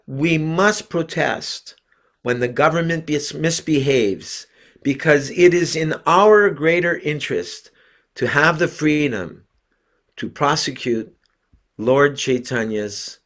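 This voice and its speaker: An older man, a devotee preacher and host, addressing a religious gathering in a deliberate, earnest speaking style. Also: a little high, fairly bright tone. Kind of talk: read-out sermon, then informal introduction.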